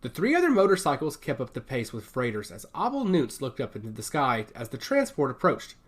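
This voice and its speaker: A man reading a scripted dramatic story as narrator, in an expressive, descriptive way. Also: mid-range voice, a little fast, breathy edge. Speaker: audio fiction narrator.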